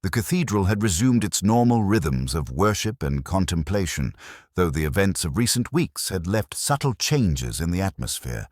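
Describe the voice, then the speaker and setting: A man narrating a scripted mystery story in a measured, formal storytelling manner. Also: extremely low pitch, dry recording, clean studio sound. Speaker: audiobook narrator.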